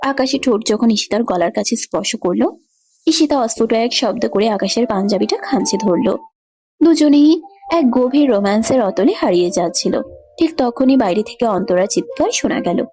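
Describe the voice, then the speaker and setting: A woman's voice: on the high side, lively intonation, moderate pace, mellow. A woman giving scripted narration of a romantic story.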